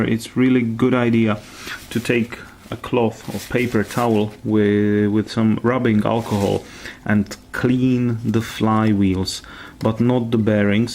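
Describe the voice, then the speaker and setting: Guy doing a diy repair tutorial, lowish creaky voice, halting careful delivery, bit of background noise. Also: darkish tone. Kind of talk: step-by-step hands-on repair tutorial.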